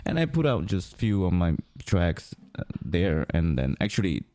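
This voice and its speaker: Deep-voiced man, hesitant and halting. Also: very animated, dark tone. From Greece. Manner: halting, searching for words with many ums. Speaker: music producer guest. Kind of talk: interview conversation.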